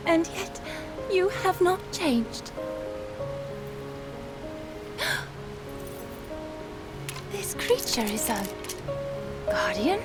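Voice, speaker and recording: An actress voicing a gentle young fish-folk princess who speaks softly and sweetly in a video game cutscene. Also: female voice, very high voice, big pitch swings, drawn-out words, long pauses, over loud music, heavily acted. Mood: fond. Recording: loud game score, rain behind.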